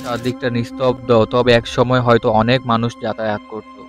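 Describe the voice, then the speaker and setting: A man with a medium-pitched voice narrates a horror story in a deliberate, suspenseful way. Soft music and night insects sit behind his voice. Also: flat delivery. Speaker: first-person story narrator.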